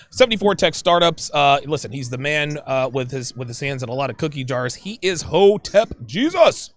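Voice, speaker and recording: Animated high-voiced male podcast host. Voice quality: high-pitched voice. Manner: animated, joking, quick to laugh. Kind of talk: casual panel chat.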